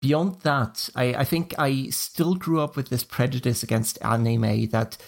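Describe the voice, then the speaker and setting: A man from Switzerland hosting a film podcast, leading the talk in a measured, organized way. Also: mid-range voice, steady tone, gravelly. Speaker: podcast host. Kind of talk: casual podcast chat.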